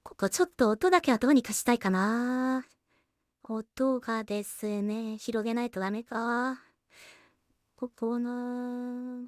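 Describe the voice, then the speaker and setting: A woman's voice: on the high side, fairly even intonation, a little slow, dry recording, clean studio sound. A woman giving casual production commentary, thinking aloud as she works as a solo animation creator and voice actor.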